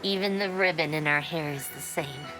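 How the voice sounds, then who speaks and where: A woman's voice: low voice, normal speed, poor audio. A woman giving casual commentary as she streams a video game. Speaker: let's play streamer. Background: game music.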